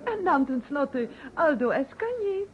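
An actress playing a gracious, formal hostess in a vintage Dutch radio play. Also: female voice, high-pitched, big pitch swings, unhurried. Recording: dull, noisy old radio recording.

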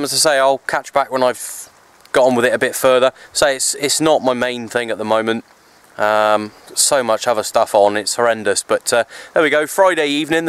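A man's voice: medium pitch, a bit bright, clear, resonant tone. A man chatting casually and easily in a rambling outdoor vlog, recorded on a handheld camera at arm's length. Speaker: radio hobbyist vlogger. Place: outdoors, open parkland in wind. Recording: noisy windy outdoor camera audio.

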